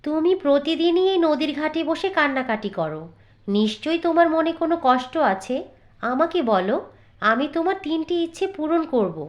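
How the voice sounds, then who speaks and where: A woman plays a kind female water fairy from a cartoon fairy tale. Her voice is high and soothing. She speaks in an earnest, gentle way. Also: animated, moderate pace, dull audio. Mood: kindly.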